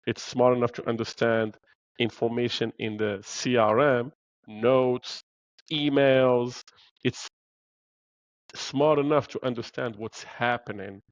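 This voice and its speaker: A man's voice: medium pitch, dry recording, dull audio. A man originally from Morocco, a podcast guest explaining things earnestly with frequent "uh" fillers. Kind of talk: podcast interview.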